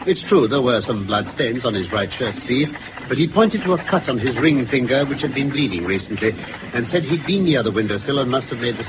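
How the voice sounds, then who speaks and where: A man with a British accent playing a brisk, incisive gentleman detective in a 1950s radio drama, articulate and theatrical as he lays out facts. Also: mid-range voice, natural voice. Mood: confident, explaining. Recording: noisy, phone-like old radio recording.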